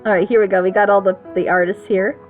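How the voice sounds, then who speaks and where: A woman's voice: mid-range voice, steady tone, quick pace, soft background music. A woman hosting a group reaction show, chatting casually as she reviews an audio drama. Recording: noisy phone-like voice call.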